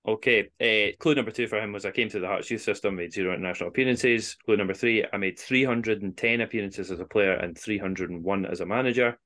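A man's voice: lowish voice, quick pace, few pauses, a bit bright. A man with a Scottish accent hosting an informal quiz, reading out clues and scores. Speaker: quiz host on a football podcast.

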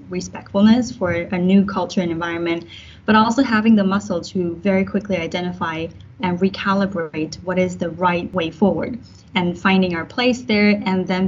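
A woman from Taiwan in her late thirties, a marketing executive answering podcast questions in a measured, thoughtful way. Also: fairly low pitch. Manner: measured, thoughtful, uses corporate phrasing. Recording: dull lo-fi with faint noise.

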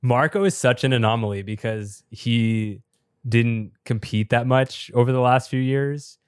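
A man co-hosting a podcast who is a little sick and losing his voice, so he sounds slightly raspy, explaining things in a chatty way with many filler words. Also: mid-range voice, a little slow, no room sound, studio quality. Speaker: podcast co-host and top competitive player.

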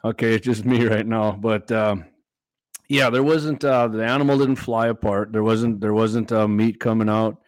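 A hoarse man, hosting a casual podcast and talking haltingly, repeating words as he goes. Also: on the low side, steady tone, no room sound. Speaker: podcast host.

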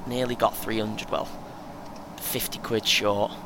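A man playing a chatty, excitable, over-prepared first-time runner in an audio play, speaking in clipped short phrases. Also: on the low side, a little slow, low-quality recording. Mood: keen, boastful. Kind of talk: naturalistic audio play acting. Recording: clear background noise, vehicle ambience.

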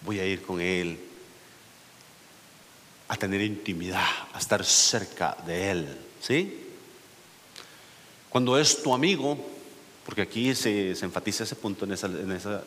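A man preaching a sermon, putting questions to the congregation and climbing to emphatic peaks. His voice sits fairly low. It rings through a long church reverb over a faint hiss. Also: fairly bright tone. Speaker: pastor. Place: church sanctuary.

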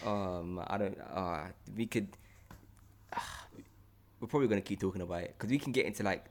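A British man with a London accent hosting a casual podcast, talking in an animated, slangy way and repeating himself for emphasis. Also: low-pitched, a little fast. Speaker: podcast host.

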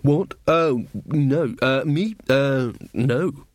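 A man's voice: medium pitch, drawn-out words, no room sound, clean studio sound. A man voicing a stunned, hesitant young woman who mumbles her replies.

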